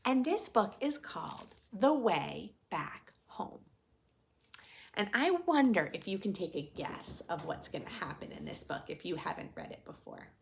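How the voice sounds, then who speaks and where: A woman's voice: on the low side, huge pitch swings, measured pace, vocal fry, slight background noise. A woman reading a storybook aloud to young children, expressively, with chatty, questioning asides to her listeners. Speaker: children's story reader and host.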